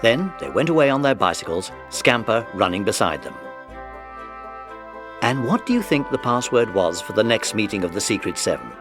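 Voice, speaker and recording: A man's voice: lowish voice, sing-song, moderate pace, music in the background. A man narrating a children's story as the narrator of an audiobook dramatization.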